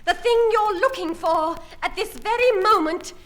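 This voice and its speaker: A woman playing a mysterious, theatrical psychic with a breathy manner in a radio comedy. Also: very high-pitched, moderate pace, a bit bright, a little hiss or hum. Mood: mystical. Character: mysterious female psychic, dramatic.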